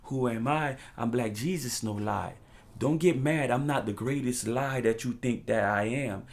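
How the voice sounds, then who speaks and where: A man's voice: mid-range voice, creaky. A man from the United States delivering an impassioned, freestyle monologue as a talk show host, preaching in profane street slang with emphatic repetition and loose rhymes.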